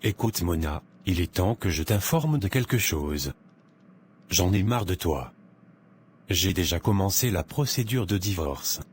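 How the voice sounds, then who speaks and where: A man playing a cruel, commanding husband in an animated moral drama. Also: low-pitched, measured pace. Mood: cold contempt. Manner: harsh, commanding, insulting. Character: cruel, contemptuous husband throwing out his wife.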